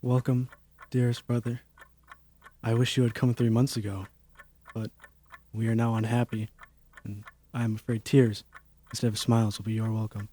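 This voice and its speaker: Young man as a grieving brother, medium pitch. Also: little pitch movement, normal speed, pauses often, mellow, a little hiss or hum, close to an everyday voice. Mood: sad, earnest. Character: grieving, earnest younger brother, gently welcoming. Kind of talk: radio play acting.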